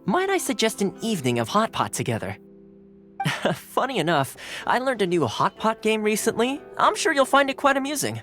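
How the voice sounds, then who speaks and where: A man's voice: high voice, huge pitch swings, normal speed, full character voice. An actor playing a cheerful young male retainer in a video game, easygoing and polite, with a playfully boastful streak. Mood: amiable. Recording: game audio with soft music behind.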